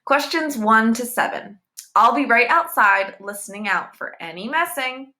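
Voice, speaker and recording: A woman voicing a stern, exasperated female schoolteacher in a read-aloud storybook, sharp and commanding. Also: fairly high pitch, expressive, unhurried, voice pushed up.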